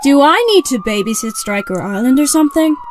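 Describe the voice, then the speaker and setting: Excitable high-pitched young superheroine, acted by a woman. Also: fairly quick, noisy background. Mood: cocky. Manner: excitable, exclamatory. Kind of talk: audio drama acting.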